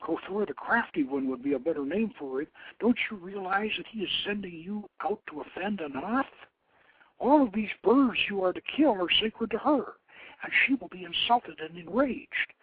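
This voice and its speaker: A man's voice: sits high, expressive, normal speed, breathy edge, pitched above the performer's own voice. A man playing a worried, protective princess in a storybook reading, earnest and warning, over a tinny phone line. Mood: urgent warning. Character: worried, protective sister of a prince, pleading young woman.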